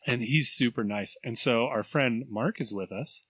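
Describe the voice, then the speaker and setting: A man in his thirties chats on a podcast with a bright, forceful voice at a middling pitch. He tells animated stories, acts out other people's voices and laughs often. The sound is close and dry. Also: normal speed, breathy edge, no echo. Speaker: podcast co-host. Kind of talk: casual chat, storytelling.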